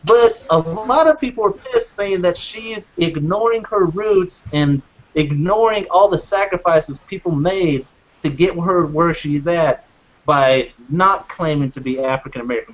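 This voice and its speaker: A man co-hosts a casual podcast, swearing often and wandering through his points with restarts and fillers. His voice sits high for a man. The recording is dull and lo-fi. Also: a little slow. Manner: profane, rambling, with restarts and fillers. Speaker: podcast co-host. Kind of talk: casual chat.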